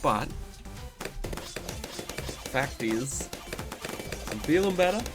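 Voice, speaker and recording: A man's voice: medium pitch, expressive, extremely slow, music in the background. A man hosting a gaming video, talking in a rambling, laid-back way with a nasal, congested voice from a cold he is getting over. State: recovering from a cold, stuffy nose. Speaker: gaming channel host. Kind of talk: casual commentary over gameplay.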